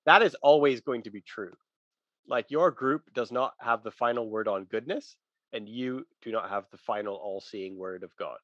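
Guy explaining religious stuff, punchy bright voice, very dry room sound, bit lo-fi. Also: mid-range voice, moderate pace, no room sound. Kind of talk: casual religious discussion.

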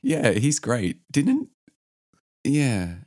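A man hosting a casual music podcast who is sick with the flu, which has lowered his voice. He talks in a laid-back, rambling way with hesitations. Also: wildly swooping pitch, extremely slow, darkish tone, dry recording, studio quality. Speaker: podcast host.

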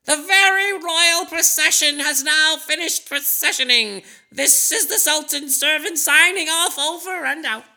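A woman voicing a pompous, excitable royal servant, grandly proclaiming in a children's comic story. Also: high-pitched, unhurried, gravelly, hardly any pauses, full character voice.

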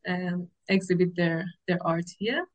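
A woman's voice: fairly low pitch, fairly even intonation, a little slow, lo-fi sound. A woman from Iran with an Iranian accent, chatting informally and effusively as a guest in an interview. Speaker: podcast guest, activist artist. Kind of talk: casual conversational interview.

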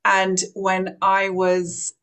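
A middle-aged woman with a Canadian accent, a podcast guest telling her own story in a soft, thoughtful way with many pauses. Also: medium pitch, completely flat delivery. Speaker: podcast guest, meditation teacher. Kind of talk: reflective conversational storytelling.

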